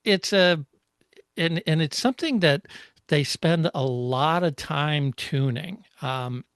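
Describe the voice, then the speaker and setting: A man's voice: a little high, slow, fairly bright tone, clean tone, no echo. Explanatory man with a close, dry sound. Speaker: co-host explaining motorsport technology. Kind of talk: casual technical discussion.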